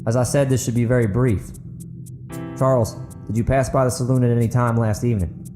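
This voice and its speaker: A man voicing a composed, self-assured mayor with a polite, measured, official manner in an audiobook. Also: medium pitch, fairly even intonation, music in the background, close to an everyday voice. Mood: polite, probing. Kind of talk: dramatic audiobook character acting.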